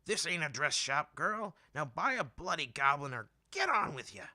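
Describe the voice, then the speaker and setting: Man putting on a hollering old goblin-seller woman, pitched way up. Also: big pitch swings, moderate pace, pushed and bright, exaggerated voice. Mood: gruff annoyed. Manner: brash market hawking.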